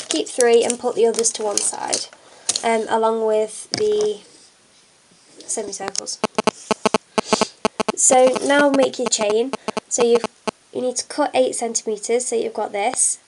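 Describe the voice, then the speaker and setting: A woman's voice: fairly high pitch, heavy background noise. A young woman with a cold and a blocked nose, chatting through a hands-on craft tutorial with lots of ums. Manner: chatty, many fillers, talks while working. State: congested from a cold. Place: at a table indoors, hands on camera.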